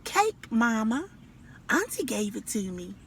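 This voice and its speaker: Woman as a meek little boy possum, unhurried. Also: a little high, very animated, slight background noise, voice pushed down, exaggerated voice. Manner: plain, polite answers.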